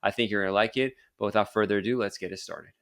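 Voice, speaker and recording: A man hosting a podcast, racing through his words at a brisk clip. He rambles a little, doubling back with fillers and restarts as he chats. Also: fairly low pitch, fairly even intonation, a bit bright. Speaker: podcast host. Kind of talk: casual interview and promo.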